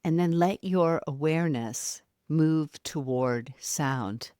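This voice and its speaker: A woman guides a meditation in a dry, intimate-sounding recording. Her delivery is slow and soft, with long pauses between short phrases. The manner is soothing and unhurried throughout, as a yoga nidra guide. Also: deep voice, no echo.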